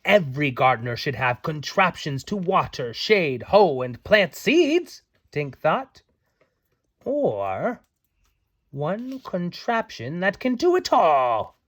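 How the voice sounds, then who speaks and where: A man's voice: a little high, sing-song, slow pace, a bit bright. A man reading a storybook aloud to children as a parent, playful and theatrical, with little asides. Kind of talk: animated storybook read-aloud.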